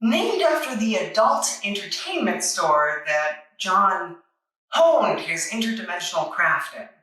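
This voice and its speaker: A woman narrates a playful scripted review with wry, tongue-in-cheek delivery. Her voice is bright and medium-pitched, heard a little away from the microphone in a very echoey room. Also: big pitch swings, measured pace, far from the mic.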